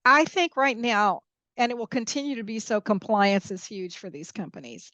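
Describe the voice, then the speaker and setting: A woman's voice: mid-range voice, moderate pace, a bit bright, dry recording. A woman who grew up in Peru and Mexico, explaining her views emphatically in a conversational interview, often checking in with the listener. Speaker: podcast guest, international corporate lawyer.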